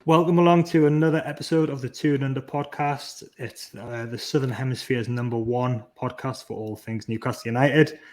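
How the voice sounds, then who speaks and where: A man hosting a football podcast, hesitant and filler-heavy, reading out statistics. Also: medium pitch. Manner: hesitant, full of fillers, reads stats. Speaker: podcast host.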